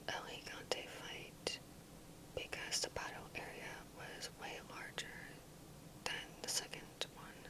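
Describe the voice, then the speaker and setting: A woman speaking entirely in a soft, breathy whisper, giving a measured, reflective ASMR talk as a gaming video creator. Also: low-quality recording.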